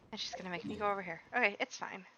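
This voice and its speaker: A woman with a bright, sharp-edged voice, streaming a game and rambling along as she plays. She chats loosely and talks back to the characters on screen. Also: mid-range voice, lo-fi sound, a little hiss or hum. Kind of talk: casual chat while gaming.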